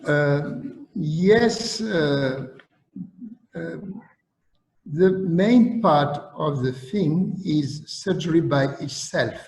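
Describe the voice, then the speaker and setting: A man with a Senegalese accent giving an online lecture on surgery, speaking deliberately and halting with fillers. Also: fairly high pitch, darkish tone, echoey room, low-quality recording.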